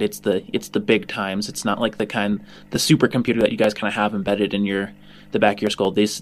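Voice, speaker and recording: A man narrating a sci-fi tabletop game as game master, informal and descriptive, halting as he improvises. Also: fairly low pitch, a bit bright, a little hiss or hum. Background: music bed.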